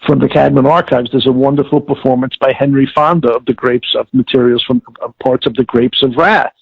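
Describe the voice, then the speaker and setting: A man giving reflective interview answers as an expert guest, halting and emphatic, repeating words for stress. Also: mid-range voice, fairly even intonation, gravelly, breathy edge, no echo. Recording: narrow, tinny phone-like line.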